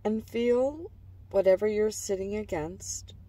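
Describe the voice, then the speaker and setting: A woman narrating a guided imagery relaxation in a calm, gentle, soothing manner, letting phrase endings trail softly. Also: mid-range voice, slow, no room sound, distant mic, rough recording.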